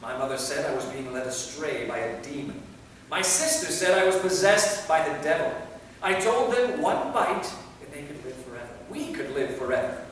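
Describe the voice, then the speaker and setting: Guy doing anguished vampire in a play, swingy high voice, big echoey hall, kinda far away. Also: lively intonation, moderate pace, fairly bright tone, slight background noise. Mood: grieving, bitter. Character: anguished, weary, bitter immortal male vampire. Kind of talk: staged reading of a stage play. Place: theater, recorded from the audience distance.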